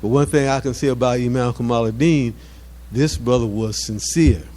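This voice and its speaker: Man, seventies, informal community speech, halting delivery, repeated words, searching for phrases. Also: mid-range voice, steady tone, warm tone. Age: 70s. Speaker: mosque community elder speaking at an anniversary event. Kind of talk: informal public address, off the cuff.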